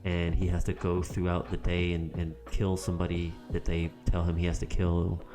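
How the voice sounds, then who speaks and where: A man's voice: low-pitched, monotone, moderate pace, music in the background. A man co-hosting a podcast, chatting casually and rambling with lots of ums as he searches for words. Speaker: podcast co-host. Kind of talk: casual chat.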